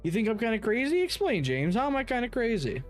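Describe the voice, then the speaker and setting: A man's voice: very high voice, huge pitch swings, fast talker, faint music behind. A man chatting casually while streaming a game, swearing and using plenty of slang. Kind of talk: casual livestream chat while gaming.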